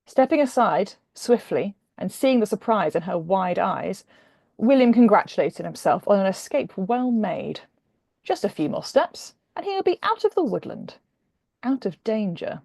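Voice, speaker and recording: A woman author reading her humorous romance novel aloud in expressive, dramatic narration with deliberate pauses. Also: mid-range voice, creaky, no room sound, studio quality.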